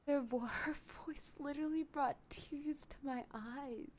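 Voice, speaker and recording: A woman's voice: sits high, unhurried, a little mellow. A woman streaming a game, reacting casually and expressively in informal speech. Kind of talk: casual live reaction commentary.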